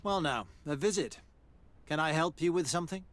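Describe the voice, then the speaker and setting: Game role, male actor: male military doctor, learned and courteous, matter-of-fact, slightly raised pitch. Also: expressive, normal speed, a little hiss or hum, natural voice. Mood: courteous, composed. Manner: formal, polite, precise. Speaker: army doctor. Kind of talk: video game dialogue acting.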